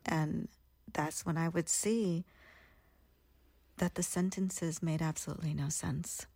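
A woman's voice: deep voice, steady tone, slow, creaky, dark tone, slightly rough, no room sound. A woman in her thirties, earnestly and reflectively telling her own story as a guest in an interview. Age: 30s.

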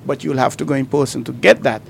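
A man's voice: medium pitch, fairly even intonation, quick pace, gravelly, slight background noise. A man co-hosting a call-in radio show, explaining things step by step with examples. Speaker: radio talk show co-host.

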